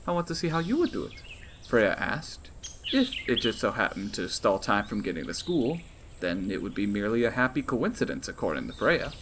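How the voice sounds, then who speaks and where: A man's voice: medium pitch, a bit bright, a little hiss or hum. A man reading his own fantasy novel aloud as scripted audiobook narration.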